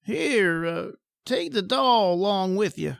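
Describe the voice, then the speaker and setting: A woman playing a knowing, wry morgue attendant with a deliberate, drawn-out delivery in a 1940s radio drama. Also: medium pitch, animated, vocal fry, no echo, clean studio sound.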